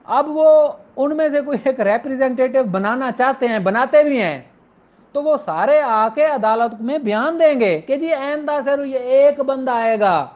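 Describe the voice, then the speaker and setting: High-pitched Pakistani man lecturing, dull audio. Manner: didactic, informal, switches between Urdu and English legal terms. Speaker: law lecturer. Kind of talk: explanatory lecture, reading statutes aloud then explaining them.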